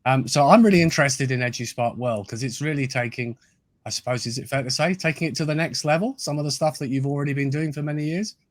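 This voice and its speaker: A man hosting a podcast, friendly and measured, with hesitant fillers as he asks questions. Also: mid-range voice, no room sound, clean studio sound. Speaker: podcast host. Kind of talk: podcast interview.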